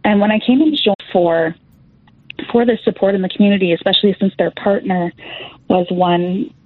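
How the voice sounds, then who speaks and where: A woman's voice: mid-range voice, fairly quick, no room sound. Woman music therapist over a tinny line. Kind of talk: interview guest, casual explanation.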